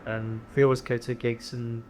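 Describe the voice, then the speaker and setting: A man's voice: medium pitch, level tone, normal speed, darkish tone. A young man from Devon, a band singer, talking casually in an interview. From Devon, England. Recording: ambient room noise behind.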